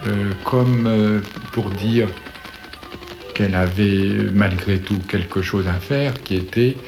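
A man with a low-pitched voice tells a story reflectively. He moves in short, halting phrases, leaving gaps between them. Music plays clearly behind him. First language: French. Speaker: composer telling his story.

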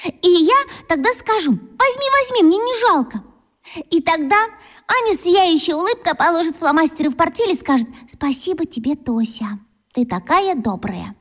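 Cast: a dreamy young schoolgirl in a radio play, very high, earnest and wistful. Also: expressive, moderate pace. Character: shy, dreamy little schoolgirl longing for a friend.

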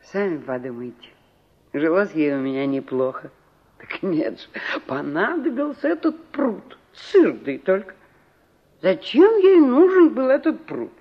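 An actress playing a middle-aged, complaining village aunt in a 1960s radio play, emphatic and full of rhetorical questions. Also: female voice, mid-range voice, very animated, unhurried, husky. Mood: fretful. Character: exasperated village aunt fretting over her stubborn niece. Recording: dull vintage radio recording.

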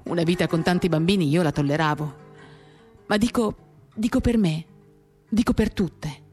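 A woman's voice: fairly low pitch, normal speed, a little mellow. A woman reading a literary monologue for radio theatre in an intimate, dramatic, confiding way, in short phrases. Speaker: reader of a novel for radio theatre. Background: acoustic guitar music.

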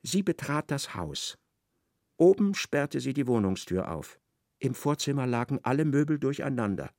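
A man's voice: mid-range voice, sing-song, normal speed, a little mellow, studio quality. A man narrating a literary radio drama as a wry storyteller who echoes an aggrieved wife's thoughts. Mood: measured, grave.

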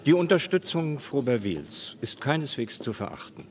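An actor playing a courteous, self-assured general in a radio play, speaking formally and ceremoniously. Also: male voice, mid-range voice, big pitch swings, normal speed, a little mellow, close to an everyday voice. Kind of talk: German radio play acting. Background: faint crowd murmur.

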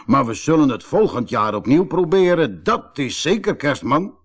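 A man's voice: on the high side, sing-song, moderate pace, slightly rough, full character voice. A man with a Netherlands Dutch accent voicing a male thief from a gang in a children's storybook. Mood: grudging. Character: gang of male thieves, bossy then sheepish. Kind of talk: storybook character dialogue.

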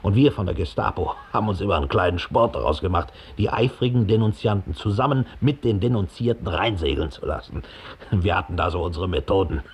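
A man's voice: sits low, expressive, fairly quick, a little hiss or hum. An actor playing a cynical, smug former Gestapo officer telling his story with open scorn in a 1960s German radio drama. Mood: scornful, amused.

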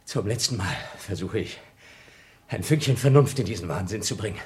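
A man's voice: on the low side, animated, brisk, a little mellow, natural voice. An actor playing a younger astronaut in a German radio drama, arguing with cold, pedantic logic and accusing his rival. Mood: grimly reasoning. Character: younger male astronaut, cunning, argumentative, coldly accusing rival.

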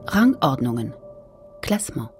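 A woman playing a detached announcer who reads out headings tersely and deliberately in a radio play. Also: rumbling low, big pitch swings, over music, heavily acted.

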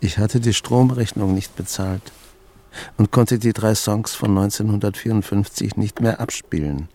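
An actor in a radio drama playing a weary, gruff, sardonic grandfather of seventy with a dry manner and a husky, gravelly voice. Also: lowish voice, moderate pace, dark tone, a little hiss or hum, natural voice. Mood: brooding, reflective. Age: 70s. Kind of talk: radio drama acting and monologue.

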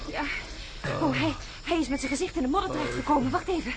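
Female astronaut: high, animated, agitated and caring, hesitant radio-drama delivery amid loud swamp ambience. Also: quick pace, heavy background noise. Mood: worried, caring. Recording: loud insect and jungle sound effects.

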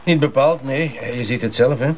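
An actor playing a methodical police inspector with a Flemish accent, measured and matter-of-fact, in naturalistic radio drama. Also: male voice, mid-range voice, a little hiss or hum, natural voice.

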